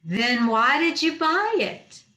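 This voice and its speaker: An actress plays a dry, quick-witted woman teacher with a fairly high voice. She tosses out sardonic comebacks in the manner of vintage radio comedy, heard in a very echoey room over a video call. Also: big pitch swings, sharp tone, heavily acted. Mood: wry. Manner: wry, sarcastic comebacks. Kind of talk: old-time radio comedy acting.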